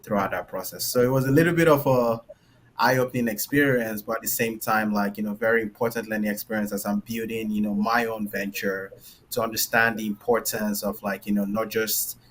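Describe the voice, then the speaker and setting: A man's voice: on the low side, fairly even intonation, fairly quick, a bit bright, slight background noise. A man from Nigeria with a light Nigerian accent, a panelist telling a personal story in a loose, rambling way full of "like" and "right?". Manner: loose, filler-heavy, tags lines with "right?". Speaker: panelist, engineer and founder. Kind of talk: casual storytelling.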